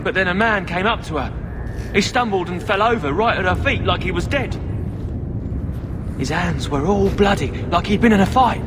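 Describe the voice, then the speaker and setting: A man's voice: high voice, noisy background. An actor playing a cagey street boy in a video game, grudging and hesitant, with plain words.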